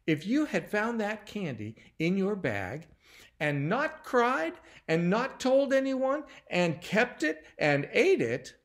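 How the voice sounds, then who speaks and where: A man voicing a calm, reassuring mother in a children's story as she patiently teaches her children. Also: high-pitched, takes it slowly, voice pushed up, full character voice. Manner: patient, instructive.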